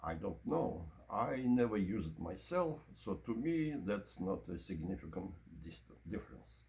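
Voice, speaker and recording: A man presenting an informal product review and demonstration, explaining in measured, short broken phrases. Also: low voice, big pitch swings, gravelly, darkish tone. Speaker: presenter of audio equipment review.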